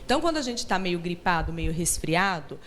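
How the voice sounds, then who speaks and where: A woman's voice: fairly low pitch, sing-song, fairly quick, fairly bright tone, slight background noise. A woman with a Brazilian Portuguese accent, a hearing therapist guest on a TV show, explaining patiently in a studio and often adding "né?". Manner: patient, explanatory, tags sentences with "né?". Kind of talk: explanatory interview talk.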